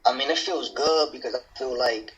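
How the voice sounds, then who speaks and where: A man's voice: medium pitch, moderate pace, a bit bright, a little hiss or hum. A man from New Jersey talking casually and earnestly as an interview guest, in a motivational way. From New Jersey, United States. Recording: dull, muffled lo-fi sound.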